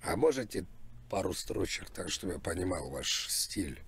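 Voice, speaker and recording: A man's voice: sits low, big pitch swings, normal speed, a bit bright, slightly rough, no echo. A man making a prank call as a music producer, chatting casually in repetitive patter. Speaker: prank caller posing as a rap label producer. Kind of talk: casual phone talk.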